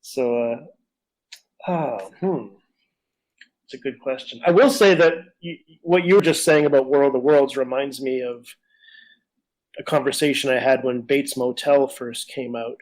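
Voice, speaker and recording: A man's voice: mid-range voice, fairly even intonation, a little slow, low-quality recording. Casual, rambling male podcast co-host. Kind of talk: casual conversational discussion.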